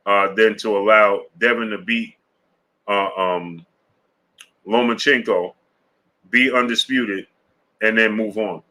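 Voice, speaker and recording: A man's voice: lowish voice, steady tone, takes it slowly, long pauses, pushed and bright. A man hosting a live-stream boxing show, greeting viewers in the chat and talking through his views with many fillers and restarts, often checking in with "right?". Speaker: sports show host.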